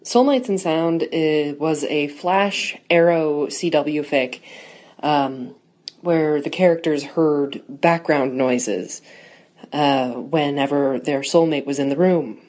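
A woman's voice: deep voice, slow pace, a little mellow. A woman giving an informal personal account in a recorded message for a podcast.

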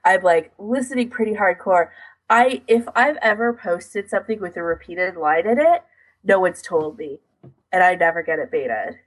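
Husky-voiced woman chatting casually. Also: lowish voice, normal speed, rough recording. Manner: rambling, filler-heavy delivery with frequent "like". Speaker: podcast co-host. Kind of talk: casual chat about audio editing.

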